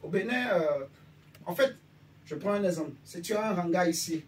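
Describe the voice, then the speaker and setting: Man, from Benin, preacher, informal sermon, checks in with listeners, slightly high voice, distant mic. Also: expressive, normal speed. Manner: conversational, asks listeners for agreement. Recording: distant mic with faint background noise.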